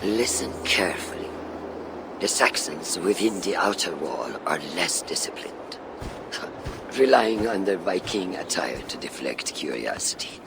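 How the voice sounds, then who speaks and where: A man playing a shrewd, secretive ally in a video game, speaking formally and deliberately in a deep gravelly voice. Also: big pitch swings, background noise, full character voice. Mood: conspiratorial. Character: calm, secretive male conspirator-ally, measured and shrewd.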